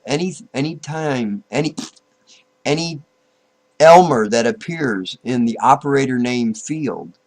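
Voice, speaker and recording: A man giving an informal, unscripted software tutorial, thinking aloud, halting and repeating himself as he goes. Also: medium pitch. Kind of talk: informal screen-recorded tutorial, unscripted walk-through.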